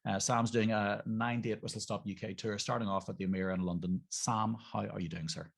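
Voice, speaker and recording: Gravelly Irish host with a low voice. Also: male voice, fairly even intonation, a little fast. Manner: jovial, chatty. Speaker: radio host. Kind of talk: casual interview chat.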